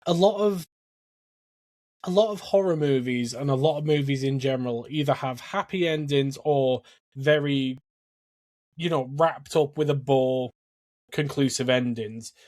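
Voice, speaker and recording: A man's voice: mid-range voice, measured pace, darkish tone, dry recording. Blunt man, dry close sound. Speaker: podcast co-host. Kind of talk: casual film discussion.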